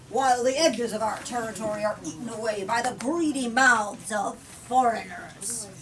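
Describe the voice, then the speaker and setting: A woman's voice: a little high, lightly acted. A woman voicing a pompous, wealthy male politician making an indignant, declamatory speech in a storytelling reading. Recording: distant mic, clearly noisy room.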